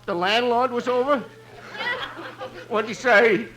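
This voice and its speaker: A man's voice: very high-pitched, slow, crowd noise. A man playing a scheming telegram messenger, deferential, hesitant and plaintive, in 1950s radio comedy. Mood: mournful, pleading. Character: scheming telegram messenger with a hard-luck sob act. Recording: noisy old 1950s radio broadcast.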